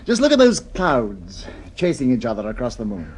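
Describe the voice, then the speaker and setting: An actor with an English accent playing a besotted, romantic gentleman in an old-fashioned radio drama, gushing and a little hesitant. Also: mid-range voice, huge pitch swings, normal speed, a little hiss or hum. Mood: dreamy, romantic.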